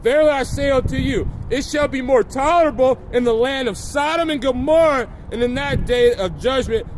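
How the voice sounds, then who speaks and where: Male outdoor preacher, very high pitch, slightly hoarse with a coming cold, emphatic drawn-out scripture delivery, windy noisy livestream sound. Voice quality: slightly raspy. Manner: emphatic, drawn-out declaiming when reading verses. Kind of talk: loud outdoor sermon with scripture reading. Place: outdoors in strong wind.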